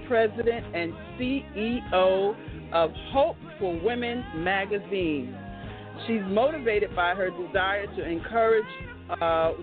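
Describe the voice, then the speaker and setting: Phone-like sound, music behind, American woman, talk show host, exuberant, devout, chatty. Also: mid-range voice. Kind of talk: upbeat chatty hosting with prayer. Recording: band-limited phone-like line, music behind.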